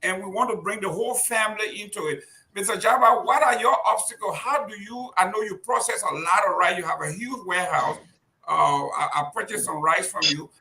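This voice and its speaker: A man's voice: high-pitched, a little fast, gravelly, pushed and bright, poor audio. A man hosting an interview, asking questions hesitantly with many filler sounds. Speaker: interview host. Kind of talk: conversational interview.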